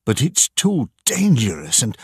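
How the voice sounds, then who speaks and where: A man acts out a big, frightened ship's engineer in an audiobook sea story. His voice jumps high above a narrator's pitch and swings widely, emphatic and exasperated, with a rough edge. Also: wildly swooping pitch, a little slow, no room sound, studio quality, exaggerated voice. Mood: indignant.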